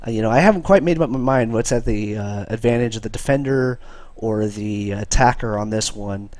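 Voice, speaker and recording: A man's voice: on the low side, brisk, a little mellow, no room sound. A man with a cold, hosting a live game stream and chatting casually with many fillers. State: has a cold. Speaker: esports caster and stream host.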